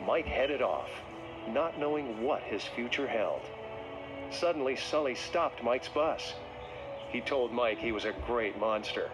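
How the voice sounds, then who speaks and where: Expressive male storybook narrator over music. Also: medium pitch, a little slow, low-quality recording. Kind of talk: scripted read-along children's story narration.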